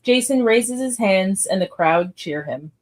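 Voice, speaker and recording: Female narrator, medium pitch, reading screenplay action lines for a live audio drama. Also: lively intonation, a little slow, very noisy. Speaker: narrator of a script read. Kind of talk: scripted stage-direction narration.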